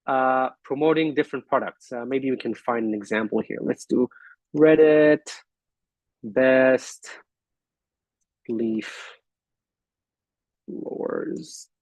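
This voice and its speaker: Host, male, gravelly, hesitant and thinking aloud, dry close video-call sound. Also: medium pitch, darkish tone, no room sound, low-quality recording. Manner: halting, thinking aloud with fillers and restarts. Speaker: host of an online business forum. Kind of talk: casual screen-share walkthrough.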